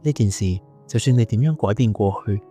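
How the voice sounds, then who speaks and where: A man's voice: on the low side, a little fast, dark tone, faint music behind, lightly acted. A man with a Hong Kong Cantonese accent acting a kindly, wise male angel who mentors in a radio drama. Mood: calm, advising. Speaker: voice actor.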